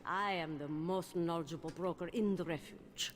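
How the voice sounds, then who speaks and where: An actress voicing a shady, vain, self-assured underworld information broker in a video game, with a smooth-talking manner. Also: female voice, on the low side, moderate pace, big-room sound, natural voice. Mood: sly, self-assured. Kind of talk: video game character dialogue.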